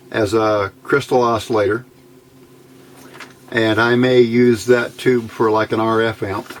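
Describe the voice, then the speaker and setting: A middle-aged or older man casually explaining technical details as a hobbyist, halting and thinking aloud, recorded indoors at a worktable. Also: mid-range voice. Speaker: hobbyist radio builder giving a tutorial. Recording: noisy amateur home recording.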